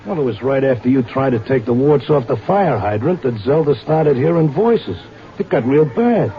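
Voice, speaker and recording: A man's voice: medium pitch, expressive, moderate pace, slight background noise, close to an everyday voice. An actor playing a stubborn, devoted horse-race handicapper in a vintage radio drama, talking in slangy wise-guy style. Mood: grim. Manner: slangy, streetwise Broadway wise-guy talk. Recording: dull old radio recording with music.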